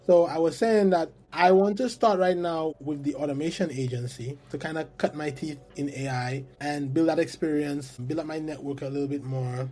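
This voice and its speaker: A man's voice: a little high, steady tone, normal speed, few pauses, dark tone. A man in his twenties from St. Lucia, a guest talking earnestly and reflectively in a casual interview, often saying "like.". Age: 20s.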